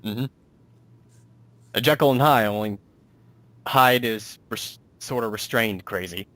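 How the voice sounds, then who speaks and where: A man's voice: on the low side, slow, a bit bright. A man hosting a casual interview as a writer and producer, talking in a rambling, self-deprecating way.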